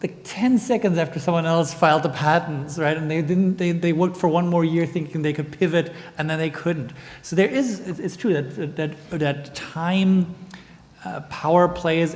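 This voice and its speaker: A man's voice: fairly high pitch, a little mellow. A man from Germany, speaking as a panelist in a thoughtful, digressive way and often adding "right?". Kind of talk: panel discussion. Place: conference hall. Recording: long hall reverb.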